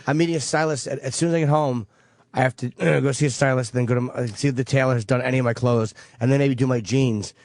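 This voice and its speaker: A man's voice: medium pitch, steady tone, fast, vocal fry, a little mellow, no echo. Tired male comedian, mid voice, self-deprecating, dry booth sound. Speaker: comedian, radio co-host. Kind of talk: casual radio banter. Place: radio studio.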